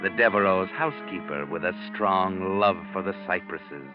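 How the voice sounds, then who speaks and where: Sinister male radio narrator, an actor's role, deep insinuating delivery, dry irony, music behind, old muffled broadcast. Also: moderate pace, lightly acted. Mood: sardonic. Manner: sardonic, insinuating. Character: sardonic, sinister all-knowing mystery narrator. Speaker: host and narrator. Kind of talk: 1940s radio scripted narration. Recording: muffled 1940s radio recording.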